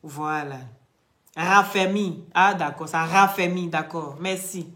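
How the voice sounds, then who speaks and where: Lady going live, lecturing folks then selling creams, lowish voice, echoey room. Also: takes it slowly, a bit bright. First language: not French. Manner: emphatic, lecturing, addresses viewers directly. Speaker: online vlogger and beauty product seller. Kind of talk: live social media chat and product pitch.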